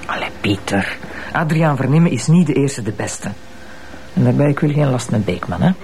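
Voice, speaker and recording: A man's voice: mid-range voice, animated, normal speed, music in the background, close to an everyday voice. A man with a Flemish accent playing a cautious, admonishing senior official in a crime drama. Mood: stern, cautious. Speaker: actor.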